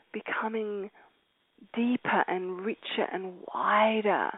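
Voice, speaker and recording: A woman guides a meditation at an unhurried, drawn-out pace, her soothing voice sometimes sinking to a whisper. The sound is dry and close, with a narrow, tinny, phone-like band. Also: fairly high pitch, no room sound. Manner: slow, soft and soothing, drifting into whispers.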